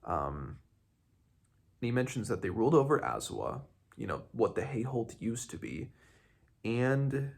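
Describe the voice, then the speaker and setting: A man hosting a casual book discussion, speaking haltingly as he searches for words and corrects himself. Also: medium pitch, big pitch swings, vocal fry, slightly rough. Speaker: host of a book read-along. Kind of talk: casual book discussion with some read-aloud passages.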